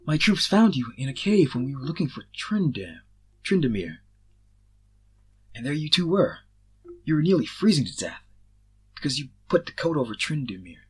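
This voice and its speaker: Man putting on an excitable, affectionate woman, pitched up. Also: moderate pace, pauses often, husky. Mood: relieved.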